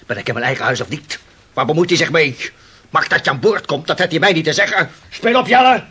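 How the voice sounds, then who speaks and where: An actor playing a blunt, hot-headed young sailor in a Dutch radio play, indignant and scornful of authority. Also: male voice, fairly high pitch, lively intonation, quick pace, bright, forceful tone, slightly rough, exaggerated voice.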